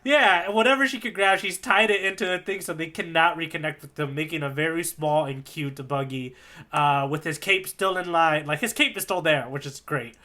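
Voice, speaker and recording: A man's voice: a little high, normal speed, sharp tone. A man co-hosting a podcast, telling a story in a casual, rambling, jokey way. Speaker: podcast co-host. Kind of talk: casual chat recapping a manga chapter.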